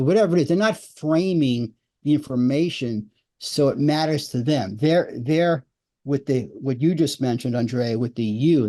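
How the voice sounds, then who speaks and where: A man's voice: medium pitch, warm tone. A man speaking as a podcast guest, a business writing coach who explains his points conversationally, often repeating words as he thinks. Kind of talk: podcast interview.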